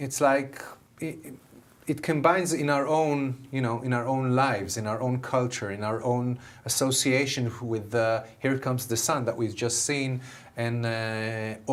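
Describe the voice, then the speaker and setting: Middle-aged Israeli-accented musician, eager guest, average pitch. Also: male voice. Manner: enthusiastic, many fillers and restarts. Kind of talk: TV interview answers.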